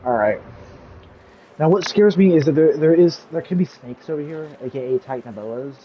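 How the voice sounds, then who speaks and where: A man's voice: a little high, expressive, normal speed, dark tone, slight background noise. A man narrating a game tutorial over gameplay, rambling with lots of fillers, and he says he is losing his voice. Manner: rambling, filler-heavy, easily sidetracked. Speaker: gaming YouTuber. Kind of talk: casual gameplay tutorial commentary.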